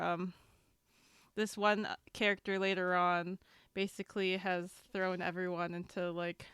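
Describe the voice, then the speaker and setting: A woman on a podcast panel casually explaining things to fellow fans in a halting, hedging way. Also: medium pitch, a bit bright, clean tone, no echo, studio quality. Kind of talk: casual explanatory fan chat.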